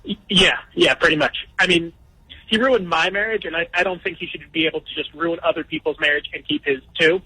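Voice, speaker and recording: A man's voice: on the high side, fairly even intonation, fairly quick, sharp tone, breathy edge, no echo, rough recording. A man calling in to a radio show, speaking hesitantly with stammering restarts. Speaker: caller. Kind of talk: telling his story on a radio call-in.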